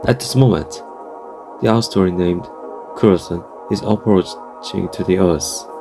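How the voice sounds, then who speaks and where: A low-pitched man delivers a scripted emergency alert as a broadcast announcer. His manner is formal and solemn, and prominent music plays under his voice. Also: unhurried, creaky.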